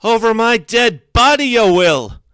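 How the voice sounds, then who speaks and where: Man acting older grandmother, storybook character, raised high pitch, loud, tearful, scolding, fierce, wide swoops. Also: big pitch swings, unhurried, clear, resonant tone, no room sound, clean studio sound, full character voice. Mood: furious, tearful.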